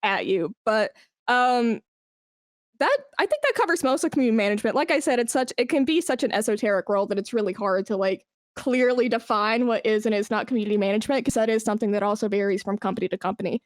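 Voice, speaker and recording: A woman's voice: fairly high pitch, quick pace, no echo, studio quality. A woman talking informally as an interview guest, explaining things with examples and emphasis. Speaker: esports brand manager, podcast guest. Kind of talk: conversational interview.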